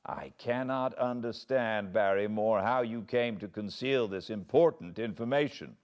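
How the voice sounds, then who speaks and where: A British man voicing a young baronet who questions his servant in a brisk, direct manner. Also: fairly low pitch, darkish tone, clear, resonant tone, voice pushed up, natural voice. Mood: inquiring.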